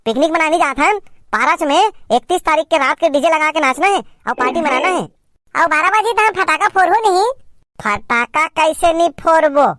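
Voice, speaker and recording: A woman voicing an excitable, boisterous young village man with a Chhattisgarhi accent in a cartoon comedy. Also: high voice, moderate pace, no room sound, clean studio sound. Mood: eager, argumentative. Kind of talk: amateur cartoon comedy dialogue.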